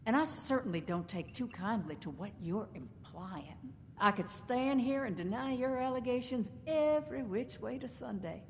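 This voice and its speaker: An actress playing a proud, shrewd caravan company boss in a video game, with a folksy, sardonic, wordy way of talking. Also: female voice, a little high, animated, normal speed, few pauses, in a large hall, slight background noise. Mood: defiant. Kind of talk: video game character dialogue.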